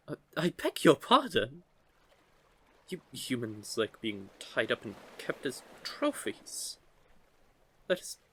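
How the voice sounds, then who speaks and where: A man voicing a curious, teasing young merman in an intimate audio roleplay, with a gently formal, measured way of talking. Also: medium pitch, heavily acted. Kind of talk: scripted audio roleplay, intimate one-sided conversation.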